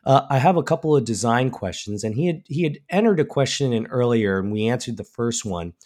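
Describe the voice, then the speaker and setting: A man's voice: mid-range voice, expressive, brisk, a little mellow. Congested man losing his voice. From Alabama. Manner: casual, many ums and restarts. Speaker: woodworking podcast co-host. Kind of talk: casual podcast chat.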